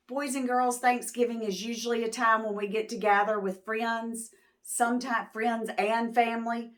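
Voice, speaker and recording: Female picture-book narrator and host: higher voice, unhurried, explains and questions simply for little kids. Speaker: children's storyteller, channel host. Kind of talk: picture-book read-aloud, talking to preschoolers.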